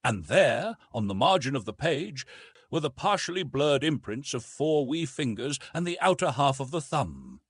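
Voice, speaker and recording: A man's voice: medium pitch, very animated, moderate pace, husky, dry recording, voice pushed up. Man reading as a wistful English nobleman. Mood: tender hope. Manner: formal, wistful. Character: tender, sorrowful English nobleman reading as diarist.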